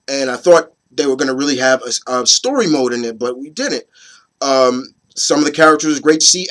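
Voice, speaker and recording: A hoarse man in his thirties, getting over a cold, hosting his opinion video in a rambling, casual way with many fillers and restarts. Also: medium pitch, fairly bright tone. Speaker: host of an opinion video series. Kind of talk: casual chat to camera.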